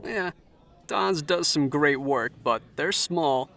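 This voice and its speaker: An actor playing a charming, self-assured tech executive in an audio drama, talking like a smooth, formal salesman. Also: a little high, slow, a bit bright, heavily acted. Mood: self-assured, flattering.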